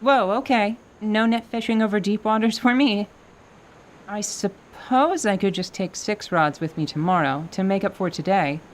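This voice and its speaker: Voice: mid-range voice, moderate pace, slight background noise, pitched above the performer's own voice, lightly acted. A performer voicing an eager, stubborn young winged girl with a pleading, youthful manner in an audio drama. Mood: backing down.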